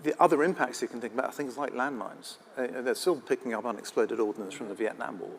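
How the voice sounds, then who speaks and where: A man with a mid-pitched voice talks as a panelist at a live event. He explains step by step in a conversational, anecdotal way, with faint noise behind him. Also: quick pace. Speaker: investigator and panelist.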